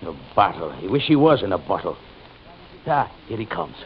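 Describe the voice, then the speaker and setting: An actor playing a hot-headed, wheedling Irish brawler with an Irish accent, pleading theatrically in an old-time radio western. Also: male voice, low voice, sing-song, normal speed. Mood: blustering. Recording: muffled old radio recording, faint noise.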